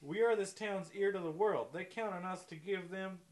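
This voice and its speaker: A man voicing a brisk, bossy, wry small-town newspaperwoman in a comic amateur radio play reading. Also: sits high, lightly acted, poor audio. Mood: stern.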